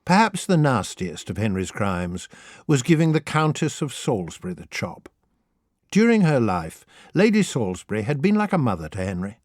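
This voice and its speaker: A man's voice: mid-range voice, sing-song, normal speed, no room sound, clean studio sound. A man reading scripted humorous history as an audiobook narrator, in a wry, theatrical storytelling manner.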